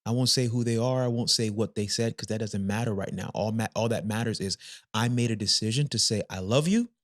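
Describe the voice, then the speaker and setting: A man's voice: on the low side, brisk, no echo, studio quality. A man in his thirties co-hosting a casual faith-based relationship podcast, talking energetically and driving his points home with repetition. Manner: energetic, emphatic, repeats points. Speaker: podcast co-host.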